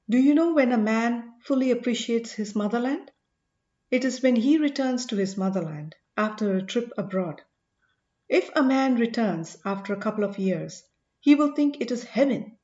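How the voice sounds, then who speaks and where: An earnest, probing sage voiced by a woman narrator with a high voice and measured authority. The delivery is emphatic audiobook dialogue. The recording is muffled, with plenty of room echo. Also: darkish tone, close to an everyday voice. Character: commanding male sage, earnest, probing, mildly excitable.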